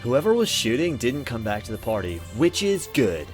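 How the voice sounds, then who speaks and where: A man's voice: mid-range voice, very animated, moderate pace, soft background music, lightly acted. A man playing a laid-back, goofy young party thrower in a visual novel game, slangy and nonchalant.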